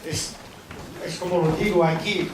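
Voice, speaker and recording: A middle-aged man with a French accent, a film director speaking haltingly after a screening, often restarting his phrases. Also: a little high, fairly even intonation. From France. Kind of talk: post-screening Q&A. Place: cinema hall. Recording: echoey hall from far off with noise.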